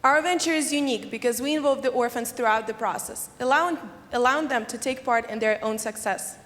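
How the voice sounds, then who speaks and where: A young woman from Ukraine speaking as a student presenter, pitching a venture and answering questions. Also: fairly quick, a bit bright. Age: young adult. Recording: reverberant hall, faint noise.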